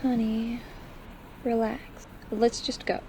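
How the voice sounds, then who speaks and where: A man's voice: very high-pitched, level tone, slow pace, vocal fry, darkish tone, a little hiss or hum, voice pushed up, full character voice. A man voicing a timid young woman who stammers apologetically in an audio roleplay. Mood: soothing.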